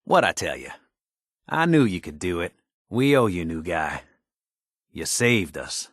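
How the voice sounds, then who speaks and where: An actor playing a folksy, wisecracking American tinkerer in a video game, talking in casual slang. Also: male voice, low-pitched, sing-song, unhurried, fairly bright tone, no echo, clean studio sound, heavily acted. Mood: elated, grateful. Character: folksy, wisecracking male tinkerer and scrappy settler ally.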